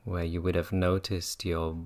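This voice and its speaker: A meditation teacher gently guiding listeners through a practice in an unhurried way. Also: low-pitched, level tone. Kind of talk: guided meditation talk.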